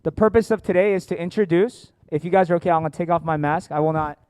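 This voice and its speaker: A man's voice: a little high, fast, a little mellow, smooth, no echo, clean studio sound. A man with an American accent presenting informally to an audience as a seminar host, jokey and engaging. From born in South Korea, grew up in Atlanta, United States. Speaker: seminar host, company founder and educator. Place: indoor seminar room with families and online viewers.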